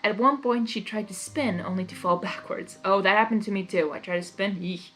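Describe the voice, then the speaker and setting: Female story reader, mid-range voice, expressive and informal, chatty reactions, music underneath. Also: mid-range voice, fairly quick. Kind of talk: expressive fan fiction read-aloud with chatty asides.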